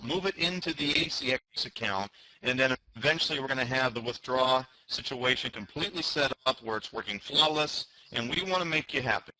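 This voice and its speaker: A man's voice: medium pitch, sharp tone, dry recording, rough recording. A man co-hosting a company conference call, giving members an emphatic promotional explanation and repeating key words for stress. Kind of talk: promotional informational talk to members.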